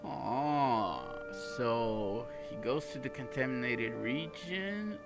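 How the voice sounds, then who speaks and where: A man giving casual gameplay commentary as a streamer, in short, relaxed-paced remarks. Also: mid-range voice, takes it slowly. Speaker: gaming streamer. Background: loud game music.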